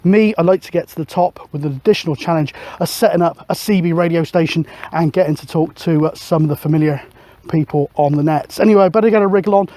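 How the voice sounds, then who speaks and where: A man's voice: fairly high pitch, fast talker, mellow, no echo. A middle-aged man, chatting casually and matily as he vlogs outdoors. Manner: chatty, matey. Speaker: vlogger and CB radio hobbyist. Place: outdoors on a windy hill. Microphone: camera microphone.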